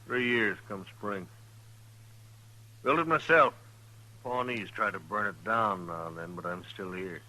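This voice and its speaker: An actor playing a guarded, fatalistic frontier station keeper in an old-time radio western, with an American accent and a terse, folksy, stubborn manner. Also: male voice, on the low side, a little slow, pauses often, pushed and bright, low-quality recording. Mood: plain, dry.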